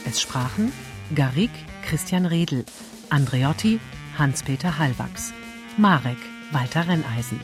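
Radio drama credits announcer: animated intonation, scripted read over faint guitar music. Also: mid-range voice, a little slow.

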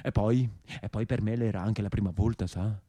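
A man's voice: on the low side, steady tone, normal speed, mellow, dry recording, natural voice. An actor playing a bashful, clumsy young northern Italian man with a Lombard accent, stammering and apologetic in a theatrical monologue. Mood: sheepish, excited.

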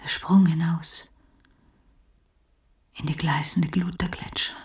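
An actress playing a fragile, fearful, trancelike patient in a German radio drama, halting and whispery. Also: female voice, low-pitched, little pitch movement, a little mellow, recorded from a distance. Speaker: actor.

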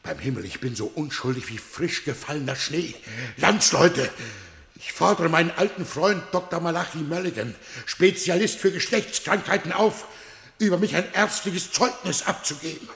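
A man's voice: fairly high pitch, animated, normal speed, vocal fry, fairly bright tone. An actor playing a persecuted, self-pitying man who pleads his innocence theatrically in a radio play. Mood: indignant pleading.

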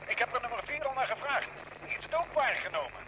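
A man's voice: very high voice, big pitch swings, quick pace. An actor playing an anxious, insistent male spaceship crewman reporting over a radio link. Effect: radio transmission. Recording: noisy crackling radio link.

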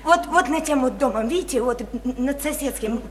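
A woman's voice: high-pitched, normal speed, close to an everyday voice. An actress in a Russian radio play playing a naive, chatty, insistent provincial woman, eager and coaxing, with colloquial, repetitive talk. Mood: excited, chatty. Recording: roomy, slightly noisy radio play recording.

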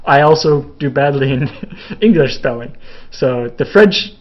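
A young man from Canada chats casually while he answers viewer questions. His voice sits at an ordinary middle pitch and wanders through fillers and restarts. The sound is a bit dull and lo-fi. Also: animated, unhurried. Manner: rambling, many fillers and restarts, laughs a little. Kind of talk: casual live Q&A chat.

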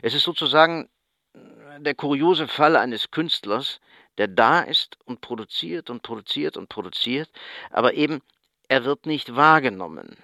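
An actor playing an earnest academic expert giving wordy, emphatic interview commentary in a radio feature. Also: male voice, medium pitch, animated, moderate pace, vocal fry, sharp tone, dry recording, studio quality. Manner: emphatic, wordy, repeats words for effect.

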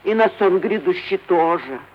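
A man plays a humble housekeeper woman in a classic stage comedy. The voice is high and very animated, with a plaintive, deferential, folksy lilt. The old recording sounds dull and slightly hissy. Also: moderate pace. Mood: confiding. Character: simple, wary female housekeeper of a rich old merchant. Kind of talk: theatrical classic Russian stage acting. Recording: dull vintage radio recording, faint hiss.

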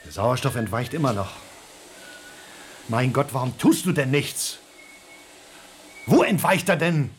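A man's voice: medium pitch, sing-song, a little slow, pauses often, soft background music. An actor playing an anxious space crewman in a radio play, pressing urgent questions in an agitated way. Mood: alarmed. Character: anxious male space crewman short of air.

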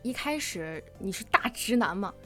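A woman's voice: mid-range voice, animated, moderate pace, faint music behind. A woman hosting a casual interview, asking questions in a conversational way. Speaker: host.

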